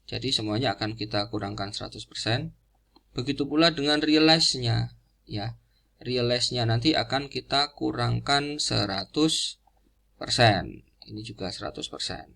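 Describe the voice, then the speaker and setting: A man giving an informal explanatory lecture in a didactic, halting way, repeating key phrases as he goes. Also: medium pitch, creaky, fairly bright tone. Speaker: accounting lecturer.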